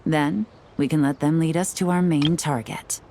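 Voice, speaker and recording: A woman's voice: low voice, steady tone, moderate pace, warm tone, a little hiss or hum. A woman playing a shrewd, confident intelligence operative in a video game, composed and calculating as she gives briefings. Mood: calm, calculating. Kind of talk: video game character acting. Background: faint game music.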